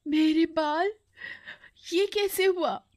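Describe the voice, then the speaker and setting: A woman's voice: extremely high pitch, extremely slow, a little mellow, voice pushed up, full character voice. A woman voicing a sweet, pleading eleven-year-old girl in a storybook tale. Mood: shocked.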